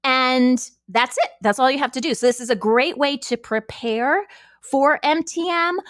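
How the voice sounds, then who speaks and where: Woman, higher-pitched voice, dry close sound, conversational tutorial style, explanatory, frequent check-ins. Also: measured pace, a bit bright, no room sound. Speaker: podcast network host giving a tutorial. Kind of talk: screen-share software walkthrough, informal instruction.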